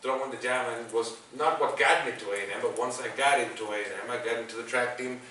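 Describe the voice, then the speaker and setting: A man in his forties speaks English with a Salvadoran Spanish accent, chatting easily to a class and poking fun at himself. His voice is bright and forceful, heard from a distance through a very echoey classroom with a faint hiss behind it. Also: medium pitch, steady tone, fast. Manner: self-deprecating, conversational, restarts often. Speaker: guest speaker, sports broadcaster. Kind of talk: public talk to students. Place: university classroom.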